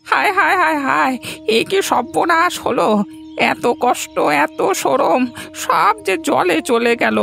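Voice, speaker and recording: A woman voicing a weary, despairing poor old farmer in a cartoon, with a creaky, plaintive delivery. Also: sits high, unhurried, sharp tone, exaggerated voice. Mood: lamenting. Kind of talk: cartoon voice acting. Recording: music behind the voice.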